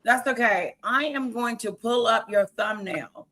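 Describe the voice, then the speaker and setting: Raspy lady hosting her stream, talks real slow, bright edgy voice. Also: on the high side, low-quality recording. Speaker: livestream host. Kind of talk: casual chat.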